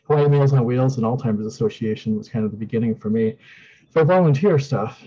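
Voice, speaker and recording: A man's voice: mid-range voice, a little fast, dark tone, breathy edge, muffled recording. A retired man hosting and co-chairing a webinar, speaking hesitantly with many fillers. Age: older. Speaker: co-chair and meeting host.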